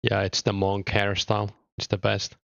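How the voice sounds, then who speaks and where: A young man from Finland with a mild Finnish accent, chatting casually with viewers while streaming a game, relaxed and thinking aloud with small hesitations. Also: on the low side, normal speed, gravelly, dry recording, lo-fi sound. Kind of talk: casual chat reading viewer comments.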